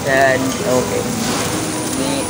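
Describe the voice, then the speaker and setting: Excited young man vlogging in a buffet. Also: mid-range voice, extremely slow, vocal fry, low-quality recording. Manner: chatty, excited, talks to viewers as "guys". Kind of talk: casual walk-through vlog commentary. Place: large indoor buffet restaurant. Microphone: handheld camera.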